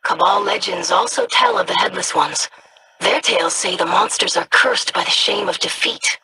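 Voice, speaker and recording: An actress voicing a calm, scholarly woman who studies dark lore in a video game, speaking in measured, formal sentences. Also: on the low side, slight background noise. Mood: grave.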